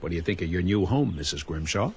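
Animated small-town doctor. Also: male voice, sits low, fast, noisy background. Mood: playful, romantic. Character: doting male small-town doctor, playful and romantic newlywed. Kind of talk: dramatic acting in a scripted drama.

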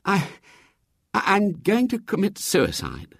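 A man's voice: high-pitched, wildly swooping pitch, takes it slowly, gravelly, a bit bright, breathy edge, no echo, studio quality, voice pushed up, exaggerated voice. A male reader's hapless lovesick young man for a comic storybook: stammering, plaintive, raised voice, wildly swooping. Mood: miserable. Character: flustered, miserable, self-dramatising lovesick young man.

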